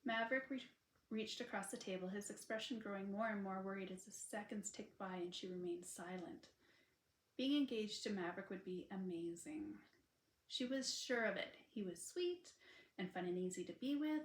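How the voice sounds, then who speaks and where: A woman author reading her own romance novel aloud in an expressive, informal storytelling style. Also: mid-range voice, moderate pace. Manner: informal asides to listeners between passages. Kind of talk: expressive audiobook narration of a sweet romance. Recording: unedited roomy home recording.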